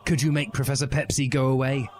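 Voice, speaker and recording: A man's voice: medium pitch, little pitch movement, fast, crowd noise, voice pushed up, natural voice. A man voicing a self-important, indignant teenage boy revolutionary in a comic story reading. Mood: urgent, indignant. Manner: indignant, bombastic. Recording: music behind the voice.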